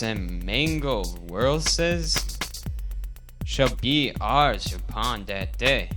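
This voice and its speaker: A man voicing an old male farm pig elder in a parody storybook, a solemn visionary with declamatory, drawn-out, slang-heavy speech. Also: mid-range voice. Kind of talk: parody storybook character reading.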